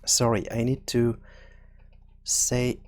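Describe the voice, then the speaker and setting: A man presenting an unscripted software tutorial, explaining step by step with frequent pauses in mid-sentence. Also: medium pitch, monotone.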